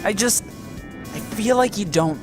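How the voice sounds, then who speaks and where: An actor playing a defensive know-it-all young man in an audio drama, casual and quick to interrupt with corrections. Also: mid-range voice, expressive, over music. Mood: defensive, explaining.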